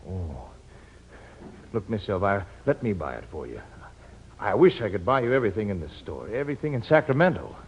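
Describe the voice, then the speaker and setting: A man's voice: on the low side, sing-song, brisk, a little hiss or hum. An actor playing a shy, devoted young frontier man in 1950s radio drama style. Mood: tender. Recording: muffled 1950s radio recording.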